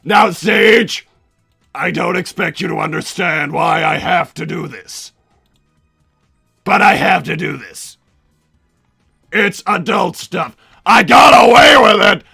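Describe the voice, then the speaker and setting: A man voicing a pompous, hot-tempered cartoon villain doctor and strict, doting papa, raspy and blustering, chatting in character on a livestream. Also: high-pitched, lively intonation, slow pace, long pauses, bright, forceful tone, dry recording, clean studio sound, soft background music, heavily acted. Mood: indignant, firm. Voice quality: gravelly, raspy. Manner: blustering, bombastic. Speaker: livestream host.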